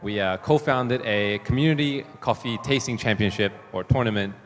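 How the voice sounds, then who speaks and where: A man from New Zealand talking as a panel guest, relaxed and easygoing, with lots of fillers. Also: medium pitch, normal speed. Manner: relaxed, laughs easily, many fillers. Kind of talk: conference panel talk. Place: conference hall. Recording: hall reverb with faint room noise.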